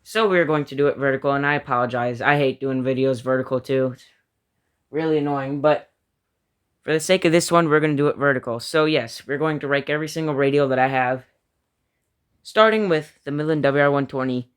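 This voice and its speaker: A man's voice: mid-range voice, fairly quick, a bit bright, clean tone. A man giving casual, opinionated commentary as a hobbyist ranking things, in an informal and slightly self-deprecating way. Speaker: hobbyist video creator.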